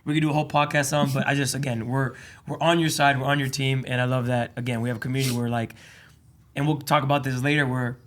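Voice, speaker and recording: Man, podcast host, bright forceful tone, upbeat, laughs a lot, casual chat. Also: mid-range voice, level tone, fast. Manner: upbeat, laughs often, encouraging. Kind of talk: casual conversational interview.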